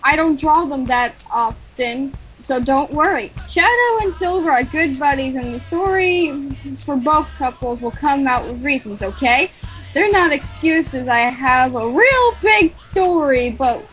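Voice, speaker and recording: A woman's voice: high voice, lively intonation, unhurried, fairly bright tone, faint music behind, poor audio. A woman reading a journal aloud, giggly and apologetic and stumbling over her words. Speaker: reader.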